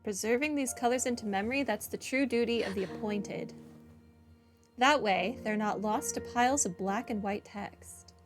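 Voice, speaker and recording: A woman's voice: fairly high pitch, moderate pace. A woman hosting a game playthrough, reading dialogue and commenting in a casual way. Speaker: gaming video host. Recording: game music behind voice.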